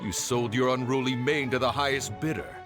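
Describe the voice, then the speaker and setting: An actor playing a smug, menacing mercenary kidnapper in an anime game, with a taunting, sneering manner. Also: male voice, medium pitch, fairly quick, natural voice. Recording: music under game dialogue.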